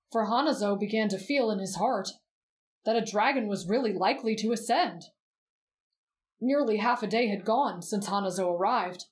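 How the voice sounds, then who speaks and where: Soft gentle teen boy voiced by a woman, kinda high, measured bedtime story reading, asmr roleplay. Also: close to an everyday voice.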